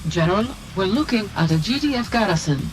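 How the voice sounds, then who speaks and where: An actress playing an authoritative, urgent military adviser giving video game mission briefings. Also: female voice, mid-range voice, animated, quick pace, music in the background, natural voice, rough recording.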